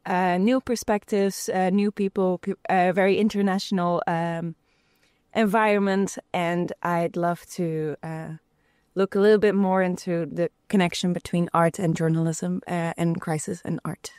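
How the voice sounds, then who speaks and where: A woman from Belgium, a student introducing herself in a thoughtful way with many pauses and fillers. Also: fairly low pitch, fairly even intonation, darkish tone, clear, resonant tone, no room sound, studio quality. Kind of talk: self-introduction.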